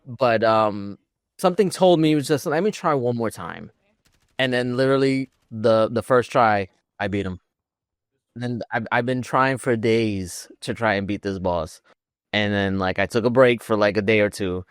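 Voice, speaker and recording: A man's voice: lowish voice, normal speed, smooth, dry recording, studio quality. A man co-hosting a podcast, chatting informally about video games in a self-deprecating way. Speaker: podcast co-host.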